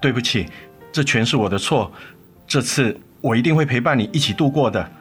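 An actor playing a tender, remorseful father and ex-husband in a radio drama, earnest and gentle in manner. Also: medium pitch, lively intonation, brisk, close to an everyday voice. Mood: remorseful, pleading. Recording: music under the voice.

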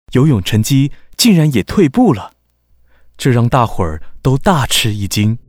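A man narrating a children's radio drama in measured, expressive storytelling. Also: mid-range voice, dry recording. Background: background music.

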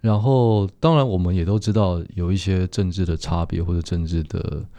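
A man's voice: low voice, steady tone, moderate pace, dark tone, no echo, muffled recording. A man with a Taiwanese Mandarin accent chatting reflectively and earnestly, feeling his way through his phrases. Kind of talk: casual reflective chat.

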